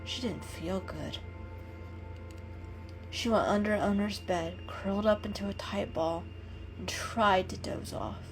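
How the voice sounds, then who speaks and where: A woman's voice: medium pitch, slow, pauses often. Woman storyteller reading over music. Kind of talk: dramatic reading of a children's animal story. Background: background music.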